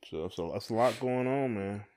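A man's voice: on the low side, normal speed, a little mellow. A middle-aged man chatting casually and colloquially as a podcast co-host. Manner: colloquial, conversational. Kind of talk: casual chat.

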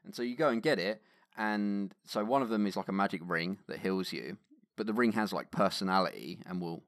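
A man's voice: lowish voice, brisk, a bit bright, dry recording, clean studio sound. A man from England, chatting casually as a podcast host about tabletop games.

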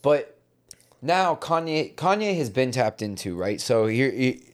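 A man's voice: medium pitch, big pitch swings, a little slow. A man co-hosting a podcast in casual, opinionated conversation, emphatic, repeating himself for stress and swearing freely. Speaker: podcast co-host.

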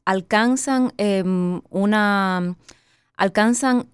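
A woman's voice: medium pitch, very slow, clean tone, dry recording, studio quality. A woman from Cuba, a guest on a radio show, explaining at length and thinking aloud with many fillers. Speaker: guest, art historian and curator. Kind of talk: interview explanation. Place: university radio studio.

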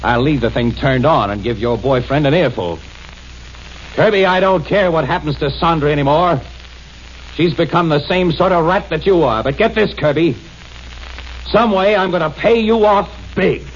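An actor playing a brash, quick-tempered crime photographer in a 1940s radio drama. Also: male voice, on the high side, expressive, lightly acted. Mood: scornful. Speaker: news photographer. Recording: dull old radio broadcast with faint hiss.

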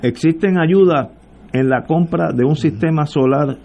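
A man's voice: fairly high pitch, a little slow, gravelly, a little mellow, dull audio. A man hosting a radio interview, upbeat and asking short questions. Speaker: radio host.

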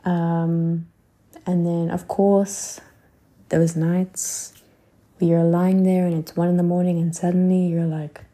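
A woman in her twenties hosting a podcast, musing casually in a rambling way with many fillers and soft whispered asides. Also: lowish voice, flat delivery, takes it slowly. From South Africa. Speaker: podcast host. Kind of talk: casual reflective monologue.

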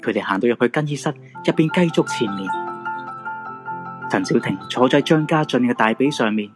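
A man's voice: mid-range voice, expressive, moderate pace, music in the background. A man with a Hong Kong Cantonese accent narrating a supernatural radio drama in a dramatic storytelling style.

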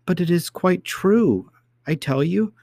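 A man's voice: sits high, animated, slow pace, gravelly, darkish tone, no echo, pitched above the performer's own voice, heavily acted. An earnest little girl princess, voiced by a man, speaking in a lifted, eager voice. She insists on her story with childlike indignation, as in a storybook reading, recorded close and dry. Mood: indignant.

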